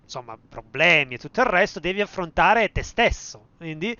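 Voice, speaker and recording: High, bright-voiced excitable Italian man streaming. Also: fairly quick, smooth, dry recording, muffled recording. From Italy. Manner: excitable, sweary reactions. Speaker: game streamer. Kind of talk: casual live gameplay commentary. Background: game music.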